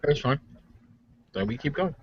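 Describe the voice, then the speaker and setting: A man's voice: a little high, lively intonation, normal speed, dull audio, slight background noise. A man playing a tabletop roleplaying game, teasing and swearing in casual banter.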